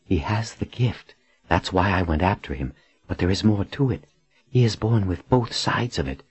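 A man's voice: low-pitched, animated, normal speed, breathy edge, no echo, voice pushed up, heavily acted. A man voicing a resolute, reassuring woman leader in an audiobook, speaking firmly and earnestly. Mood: earnest explaining.

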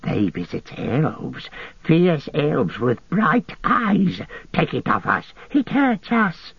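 A man voicing a small, cringing, greedy creature with a raspy, hissing, whining voice that leaps in pitch. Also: very animated, no echo, dull audio, heavily acted. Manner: pleading, pitch leaps, drawn-out words. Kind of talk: audiobook character voice.

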